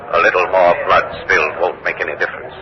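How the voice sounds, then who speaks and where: An actor playing a proud young Confederate artillery general in old-time radio drama, formal and oratorical in manner. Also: male voice, deep voice, steady tone, quick pace, lightly acted. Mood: defiant, impassioned. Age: young adult. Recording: muffled, very noisy old radio recording.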